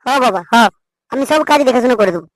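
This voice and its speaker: A woman's voice: on the high side, rapid-fire, no echo, lightly acted. A woman voicing a cheeky, foul-mouthed young son in a comic cartoon, whiny and insolent, with a West Bengal accent.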